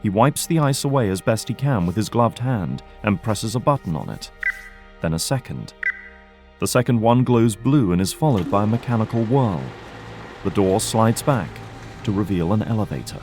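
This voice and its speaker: Male narrator for scripted audio drama, slightly deep register, faint music underneath. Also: animated, normal speed, a little mellow.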